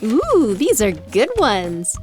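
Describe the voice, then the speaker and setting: Woman playing a bold, lively, flirtatious lady. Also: fairly high pitch, takes it slowly, over music, heavily acted. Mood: flirty, teasing. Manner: teasing, confident. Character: bold, flirtatious female fantasy author. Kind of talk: fantasy audio drama acting.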